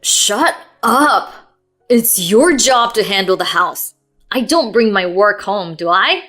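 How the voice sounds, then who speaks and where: Brief: a woman playing a domineering husband in a story, harsh shouted orders, slow delivery, soft music behind. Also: medium pitch, animated. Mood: furious. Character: angry domineering young husband, man.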